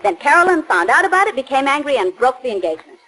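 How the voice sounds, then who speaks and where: High, lively detective's girl Friday. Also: fast, exaggerated voice, rough recording. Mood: reasoning. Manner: playful, reasoning. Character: bright, teasing, quick-witted female sidekick of a detective. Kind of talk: 1940s radio acting. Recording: 1940s radio broadcast recording.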